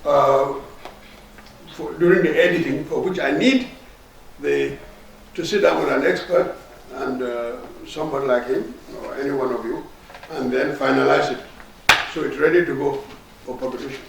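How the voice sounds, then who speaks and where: A man giving a measured, explanatory answer as an official at a formal workshop. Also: mid-range voice, creaky. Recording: echoey room, distant mic, faint noise.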